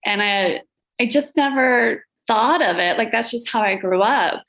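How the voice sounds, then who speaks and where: Chatty woman with a gravelly fry. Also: on the high side, measured pace, a bit bright, rough recording. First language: Latvian. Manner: chatty, laughs often, self-deprecating asides. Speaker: gallery owner and curator, podcast guest. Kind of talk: casual interview chat.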